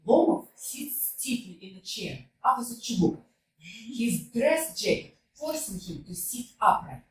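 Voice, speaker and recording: A woman reading the stage directions and cast list aloud in a measured, matter-of-fact way, recorded from a distance in a roomy hall. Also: on the high side, sing-song, a little hiss or hum.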